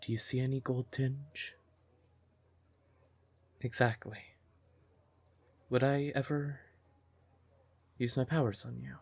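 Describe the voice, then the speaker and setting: A man playing a devoted, emotional siren boyfriend in an intimate fantasy ASMR audio roleplay, with a breathy, tender voice. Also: mid-range voice, steady tone, darkish tone, full character voice. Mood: tender, intense. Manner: tender, unhurried, emotive, with pet names. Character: devoted male siren nightclub singer, affectionate boyfriend, easily exasperated.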